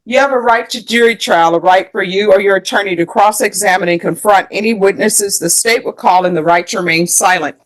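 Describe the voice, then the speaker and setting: A woman's voice: mid-range voice, brisk, hardly any pauses, poor audio. Female judge, American, formal courtroom questioning, procedural and methodical, mid-range voice. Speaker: judge presiding over a plea hearing.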